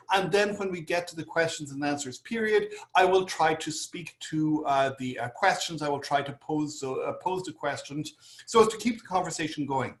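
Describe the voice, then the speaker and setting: Irish male moderator, halting delivery with many fillers, roomy echo. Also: on the high side. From Ireland. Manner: hesitant, many fillers and restarts. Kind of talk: panel introduction over video call.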